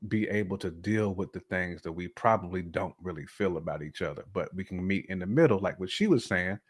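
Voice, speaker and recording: Low-voiced man chatting in slangy style. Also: a little fast, breathy edge. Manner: colloquial, slangy, frequent "you know". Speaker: podcast guest. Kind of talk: casual chat, telling personal views.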